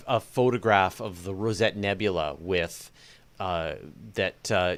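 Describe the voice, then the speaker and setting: A man hosting a conversational interview, talking in an animated way with restarts and repeated phrases. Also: deep voice. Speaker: interview host. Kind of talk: conversational interview about space.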